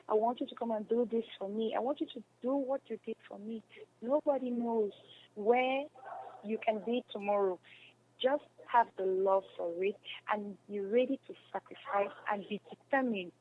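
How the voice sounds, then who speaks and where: A woman giving earnest, emphatic advice as a radio interview guest, encouraging listeners and repeating phrases for stress. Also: mid-range voice. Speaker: interview guest, stage and radio drama actress. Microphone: remote call. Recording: dull, noisy remote call connection.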